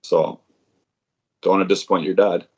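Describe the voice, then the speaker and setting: A man's voice: low voice, little pitch movement, moderate pace, husky, lo-fi sound. A man co-hosting a casual sports podcast, laid-back and chatty, saying "like" often. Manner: laid-back, says "like" a lot. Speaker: podcast co-host.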